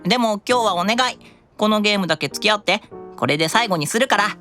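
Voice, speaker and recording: Lady doing a little angel boy, earnest n stubborn, dramatic, bouncy pitch, anime audio drama w soft music behind. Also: lively intonation, fast. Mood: stubborn, pleading. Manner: childlike, theatrical, insistent. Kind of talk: live anime-style audio drama acting.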